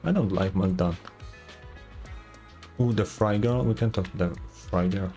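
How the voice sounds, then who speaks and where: A man's voice: on the low side, measured pace, mellow, soft background music. A man giving casual, reactive game playthrough commentary, with some swearing. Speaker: gaming streamer. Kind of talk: game playthrough commentary and read-aloud dialogue.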